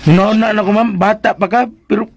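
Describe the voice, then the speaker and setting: High pitched filipino houseboy, radio drama, teasing banter, close dry sound. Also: male voice, steady tone, normal speed, no echo. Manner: bantering, exclaiming. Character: teasing, cheeky male household helper. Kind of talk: Philippine radio drama comic acting.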